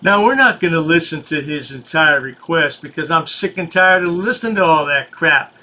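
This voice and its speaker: A man's voice: a little high, animated, moderate pace, bright, forceful tone. A man hosting, mocking a caller in sarcastic commentary. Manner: sarcastic, taunting. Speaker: commentator host.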